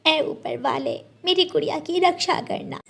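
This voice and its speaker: A woman's voice: high-pitched, unhurried, vocal fry, a bit bright, voice pushed up, full character voice. A woman voicing a protective, anxious mother bird in a children's cartoon, motherly and fretful in manner. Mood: distressed, pleading. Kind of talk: Hindi children's cartoon story acting.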